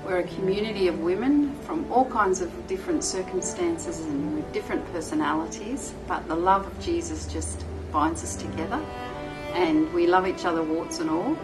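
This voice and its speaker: A woman's voice: on the low side, animated, normal speed, rough recording. A woman giving a composed, fluent testimony about her group. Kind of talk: personal testimony. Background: loud background music.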